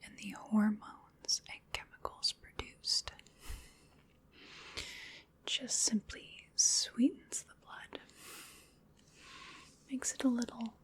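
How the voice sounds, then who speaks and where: A woman's voice: a little high, steady tone. An ancient female vampire, acted out by a woman for an ASMR roleplay, speaks in a whisper full of breath. She is polite and coaxing, with a sinister edge, and takes her time over every phrase. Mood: coaxing, quietly menacing. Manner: slow, deliberate, soothing, genteel menace.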